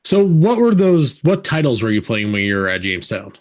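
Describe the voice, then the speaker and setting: A man's voice: mid-range voice, big pitch swings, brisk. A man hosting a podcast, easygoing and conversational as he interviews a guest. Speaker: podcast host.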